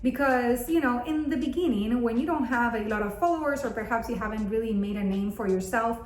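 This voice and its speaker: High-voiced woman vlogging in an echoey room. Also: brisk, darkish tone. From Argentina. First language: Spanish. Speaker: content creator and video host. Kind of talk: casual chatty vlog. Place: bathroom at home, indoors.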